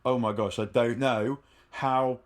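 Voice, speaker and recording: Man, mid-pitch voice, lively, chuckling asides, casual football podcast chat. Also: measured pace. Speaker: podcast co-host.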